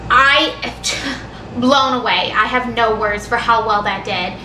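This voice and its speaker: A high-pitched woman chats through a cleaning test, full of fillers and quick asides. She is filmed in a home kitchen by a camera set back from her, so the voice sounds distant and roomy. Steady background noise hums underneath. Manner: chatty, animated, hesitant fillers. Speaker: cleaning vlogger. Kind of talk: casual vlog chat. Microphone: camera across the room. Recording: echoey kitchen, far from mic, background noise.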